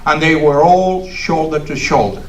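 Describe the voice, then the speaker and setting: A man's voice: fairly high pitch, moderate pace, a little hiss or hum. Older male guest speaker, Cuban Spanish accent, native Spanish, emphatic speech style, distant and roomy. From Cuba. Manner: emphatic, preacherly, builds with repetition. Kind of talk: political speech. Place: meeting hall of a congregation. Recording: echoey hall from far off.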